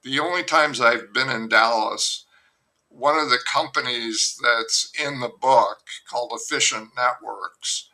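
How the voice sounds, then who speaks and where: Rambling older man with a gravelly voice. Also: mid-range voice, a little slow, sharp tone, poor audio. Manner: rambling, self-interrupting storyteller. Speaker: author and guest. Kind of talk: casual interview chat.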